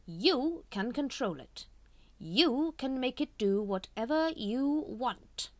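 A woman's voice: on the high side, expressive, darkish tone, no echo, voice pushed up. A woman voicing a silky scheming cult leader. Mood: coaxing. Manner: silky, manipulative, drawn-out phrasing. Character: scheming smooth-talking male cult leader.

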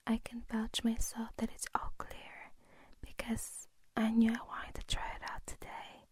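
A woman's voice: fairly high pitch, monotone, a little slow, a little mellow, breathy edge, no echo. A woman whispering in a teasing way, playing a girlfriend in an intimate audio roleplay.

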